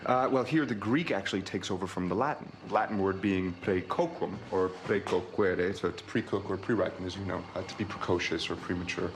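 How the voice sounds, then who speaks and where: A man in a film clip, explaining word origins in a quick, lecturing way. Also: low-pitched, a little hiss or hum. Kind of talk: film scene dialogue.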